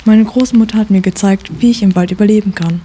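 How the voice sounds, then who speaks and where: Woman acting practical young female survivor, mellow dark tone, mid pitch, audio drama, faint noise. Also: fairly even intonation, quick pace, lightly acted. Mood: matter-of-fact.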